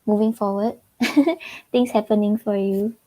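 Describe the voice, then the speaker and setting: A woman's voice: medium pitch, unhurried, warm tone, low-quality recording. A woman from Singapore with a Singaporean English accent giving casual tarot readings on a livestream. She chats loosely, fills with "like" and giggles often. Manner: chatty, giggles often, many "like" fillers. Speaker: tarot reader.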